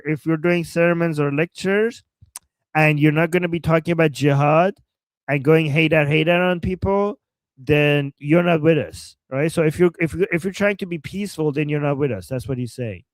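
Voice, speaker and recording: A man with a Persian accent, pitched a bit high, hosting a live stream in an animated, jokey way. He laughs often and bursts out in reaction to chat messages. The sound is close and dry. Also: moderate pace, no echo. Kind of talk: casual live-stream commentary.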